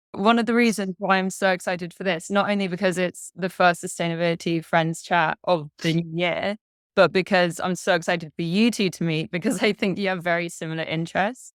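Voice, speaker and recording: Bubbly woman host, smooth low voice. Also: fairly even intonation, moderate pace, no room sound, studio quality. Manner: bubbly, laughs often. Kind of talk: casual video chat.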